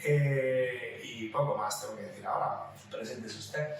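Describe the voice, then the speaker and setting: A man from southern Italy speaks with an Italian accent in casual, joking podcast chat. He is talkative and has a mid-pitched voice. He sounds far from the microphone in a very reverberant room. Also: unhurried. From Calabria, southern Italy. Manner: talkative, jokey. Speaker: podcast co-host. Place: living room at home. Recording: echoey room, distant mic, clear noise.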